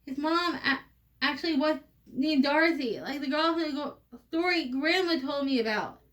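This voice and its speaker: A woman's voice: high voice, moderate pace, a bit bright, recorded from a distance, pitched above the performer's own voice. A woman reading the part of an earnest, homesick young woman in a stage play. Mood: earnest, pleading.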